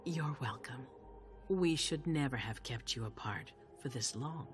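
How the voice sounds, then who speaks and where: An actress playing a composed, calculating vampire ruler in a video game cutscene, measured and authoritative. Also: female voice, very deep voice. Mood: gracious. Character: commanding vampire prince woman, composed, calculating, regal. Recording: faint music behind.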